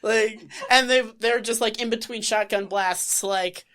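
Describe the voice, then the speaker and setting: A woman's voice: on the high side, fairly even intonation, a little slow, a bit bright. Wry, swearing woman podcast co-host. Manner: wry, swears casually, acts out scenes. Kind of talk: casual chat.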